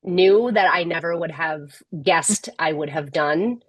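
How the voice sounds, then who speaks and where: Candid low-voiced woman guest. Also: husky, dry recording. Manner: candid, measured, with frequent restarts. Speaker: podcast guest, tech executive. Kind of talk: casual interview conversation.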